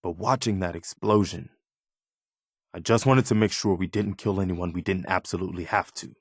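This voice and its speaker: A loyal militia officer from a wasteland video game. His voice sits on the low side and is recorded dry and close. He speaks with measured, conscientious earnestness and a touch of weariness. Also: steady tone, breathy edge, dry recording. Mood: earnest, sober. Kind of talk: video game character dialogue.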